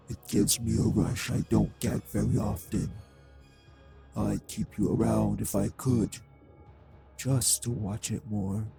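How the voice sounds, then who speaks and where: Man playing a slow, gravelly, cruel male vampire. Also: lowish voice, warm tone, exaggerated voice. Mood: gloating menace. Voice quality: husky, gravelly, breathy. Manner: slow, deliberate, mockingly polite. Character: cruel, taunting, seductive male vampire, ancient and menacing. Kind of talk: scripted audio roleplay drama.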